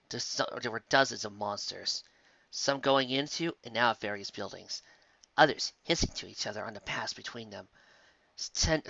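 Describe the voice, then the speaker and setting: Guy reading a story, lively and animated, dry dull sound. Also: mid-range voice, creaky, no room sound. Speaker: audiobook reader. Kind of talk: storybook narration.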